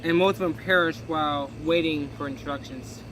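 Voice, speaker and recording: A man with a high-pitched voice reads from a history book, tripping over words and correcting himself as he goes. His delivery is casual and unpolished. Street and car noise can be clearly heard behind him. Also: moderate pace. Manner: casual, stumbling reading with self-corrections. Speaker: reader. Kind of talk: reading a history book aloud with asides.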